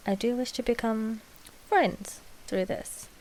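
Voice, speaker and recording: A woman's voice: on the high side, steady tone, slow pace, a little mellow. A woman playing a proud, possessive vampire queen-to-be in an audio roleplay, with haughty, formal, precise speech. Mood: candid. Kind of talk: scripted audio roleplay drama.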